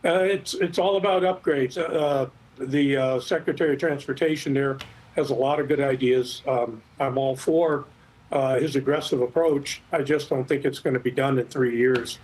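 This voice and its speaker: A middle-aged or older man giving expert commentary in a news interview, explaining things in a measured, hesitant way. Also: medium pitch, steady tone, a little mellow, slightly rough, poor audio. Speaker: expert guest, former air traffic controller.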